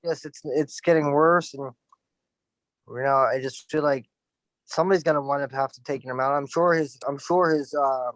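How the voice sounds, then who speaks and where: A man's voice: on the high side, steady tone, normal speed, no room sound. A man in casual chat, blunt and opinionated, with loose "like" fillers.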